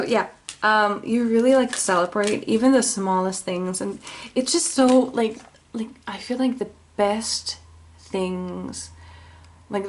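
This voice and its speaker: A woman with a Finnish accent, a native Finnish speaker, giving a casual tarot reading to camera. She rambles, corrects herself and keeps apologizing. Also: mid-range voice. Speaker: tarot reader.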